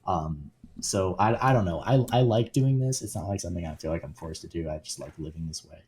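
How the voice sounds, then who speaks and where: A man's voice: low-pitched, brisk, darkish tone, slight background noise. A man of twenty talking casually on a podcast, easygoing and rambling, often restarting his sentences. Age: 20s. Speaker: community leader and guest.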